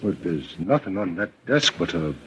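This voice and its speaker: An actor playing a tough, loyal detective's assistant in a 1940s radio mystery, with a rough voice and blunt, informal delivery. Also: male voice, low-pitched, sing-song, normal speed, a little hiss or hum. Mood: guarded. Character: tough, loyal male detective's sidekick, plainspoken.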